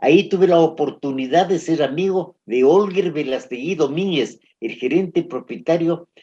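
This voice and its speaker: A man's voice: on the high side, normal speed, warm tone. A man, interviewed, earnestly reminisces about old friends and work. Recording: muffled lo-fi recording.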